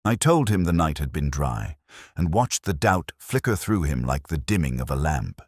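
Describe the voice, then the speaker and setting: An extremely low male voice from an actor carries a weary, brooding older police inspector through his own case notes. The delivery is measured, sombre and literary, as in a scripted detective audio drama. The recording is dry and close, with very little room sound. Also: big pitch swings, slightly rough, no echo, exaggerated voice. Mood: uneasy, pensive. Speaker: narrator.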